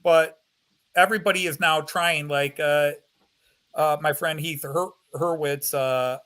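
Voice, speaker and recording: A man's voice: a little high, fairly even intonation, unhurried, a bit bright. An American man in his fifties chatting casually on a live call, joking and self-deprecating. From United States. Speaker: model railway streamer.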